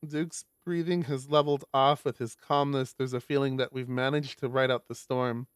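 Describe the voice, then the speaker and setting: A man's voice: mid-range voice, fairly even intonation, normal speed, smooth, no echo, studio quality. A man reading a visual novel aloud on a stream, expressively, with casual sweary asides.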